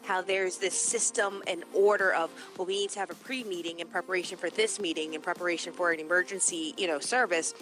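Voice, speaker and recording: A woman's voice: fairly low pitch, steady tone, a little fast, hardly any pauses, a bit bright, soft background music. A woman in a casual livestream discussion, explaining her analysis earnestly and at length.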